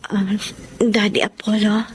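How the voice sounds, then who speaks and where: An actress playing a devoted, respectful young wife in a Tagalog radio drama, speaking sweetly and politely. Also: female voice, mid-range voice, level tone, a little slow, slight background noise, close to an everyday voice. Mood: nervous, respectful.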